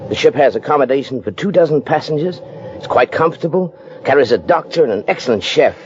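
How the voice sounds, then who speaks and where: A man's voice: mid-range voice, animated, fast, dull audio, natural voice. Man playing a protective father, noisy old recording. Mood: decisive, fond. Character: wealthy, protective father, fond and teasing. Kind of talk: old-time radio melodrama acting.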